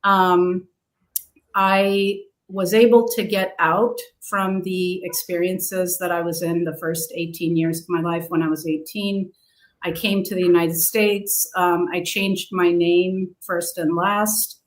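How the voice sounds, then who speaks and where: Slow, low-pitched woman guest. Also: level tone, rough recording. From grew up abroad in several countries. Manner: halting, many fillers. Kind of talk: interview answers.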